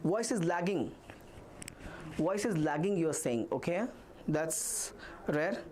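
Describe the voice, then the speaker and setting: A man's voice: on the high side, animated, takes it slowly, a little mellow. A man with an Indian accent teaching a live online maths revision class, reassuring students and reading their comments aloud.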